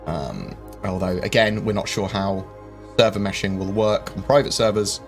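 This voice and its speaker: A man hosting a live-stream Q&A, rambling through viewer questions with lots of ums and quick jokes. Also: low-pitched, lively intonation, creaky. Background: faint game music.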